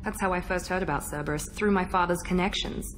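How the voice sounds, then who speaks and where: An actress playing a guarded, proud engineered operative in a video game, speaking in a measured, self-assured way over background music. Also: female voice, lowish voice, noisy background, close to an everyday voice. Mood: softened, reflective. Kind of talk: video game dialogue acting.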